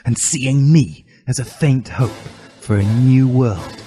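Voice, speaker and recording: A man's voice: medium pitch, takes it slowly, warm tone. A man giving a dramatic, mock-serious reading of comic fan fiction with theatrical emphasis. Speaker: reader. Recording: music behind the voice.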